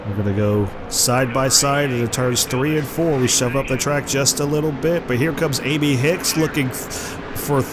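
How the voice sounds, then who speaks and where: A man's voice: mid-range voice, moderate pace. Congested man calling a racing game. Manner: play-by-play, excited when the car slides. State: congested, sore throat from flu. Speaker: gameplay commentator. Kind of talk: live racing game commentary. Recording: game car noise behind voice. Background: racing game engine noise.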